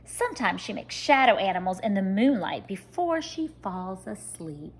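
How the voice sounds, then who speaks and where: A woman reading a children's story aloud like a teacher, expressive and speaking straight to young listeners. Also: medium pitch, normal speed, breathy edge. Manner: expressive, addressing children directly.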